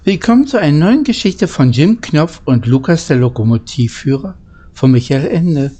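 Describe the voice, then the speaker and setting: A man reading a children's story aloud in an informal, halting way, stumbling over words and adding chatty asides. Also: a little high, animated, warm tone, husky.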